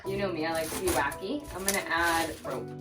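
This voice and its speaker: A young woman vlogging a home makeover, chatting casually and talking to herself excitedly as she works. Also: deep voice, brisk. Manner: chatty and excitable, talks to herself while working. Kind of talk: casual vlog narration and self-talk. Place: room under renovation, indoors. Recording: echoey room, distant mic, music underneath.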